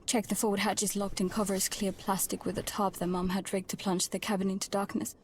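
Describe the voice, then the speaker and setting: A woman playing a protective young girl who narrates her life at sea in a hushed, intimate way for an audio drama. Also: mid-range voice, little pitch movement, fast talker, a little hiss or hum, close to an everyday voice. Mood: tense. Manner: hushed, breathy, often near whispering. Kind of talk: first-person scripted audio drama narration.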